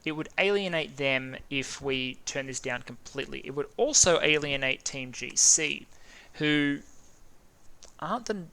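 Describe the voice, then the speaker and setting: A man's voice: fairly high pitch, measured pace, pushed and bright, clear, resonant tone, rough recording. A man with an Australian accent casually talking through a strategy game, thinking aloud and stumbling over names. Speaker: gaming video host.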